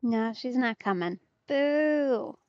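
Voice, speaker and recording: A woman's voice: a little high, animated, takes it slowly, a little mellow, dry recording. A woman streamer giving casual game commentary in an understated, wry way. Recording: slightly dull mic, music behind. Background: game music.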